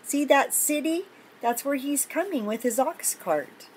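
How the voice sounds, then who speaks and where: An older woman reading a picture book aloud to children, pausing for simple, encouraging chatty asides about the pictures. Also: sits high, expressive, darkish tone, slight background noise. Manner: encouraging, explains pictures simply to young listeners.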